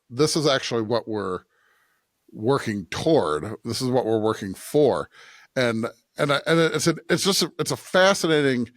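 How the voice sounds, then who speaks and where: An American man with a medium-pitched voice discusses religion as a podcast co-host. He thinks aloud haltingly, circling back to rephrase and tagging points with a questioning check. The recording is dry and free of room echo.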